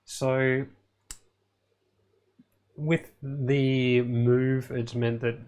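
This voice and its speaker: A man in his thirties hosting an electronics channel from his home lab, talking in a relaxed, matter-of-fact way with many fillers. His voice is a little hoarse. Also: medium pitch, drawn-out words. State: slightly hoarse, losing his voice. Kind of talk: casual video update. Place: home electronics lab, indoors, small room.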